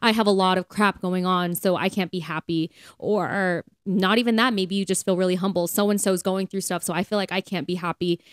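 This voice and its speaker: A woman's voice: on the low side, fairly even intonation, brisk, hardly any pauses, dry recording, clean studio sound. A woman co-hosting a podcast, talking in an earnest, chatty way in casual conversation about faith. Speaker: podcast co-host.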